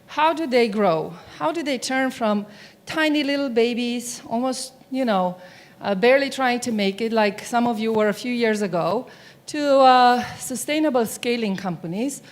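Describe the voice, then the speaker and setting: A woman's voice: fairly high pitch, moderate pace. A middle-aged woman from Romania giving a conference keynote on stage, chatty and often asking the audience questions. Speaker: keynote speaker. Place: stage of a large conference hall. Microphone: mic on stage.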